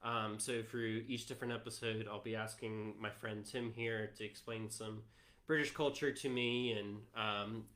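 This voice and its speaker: A man's voice: fairly low pitch, steady tone, unhurried, fairly bright tone. A man with an American accent, chatting casually as he presents a live stream as co-host. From United States. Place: room in a house.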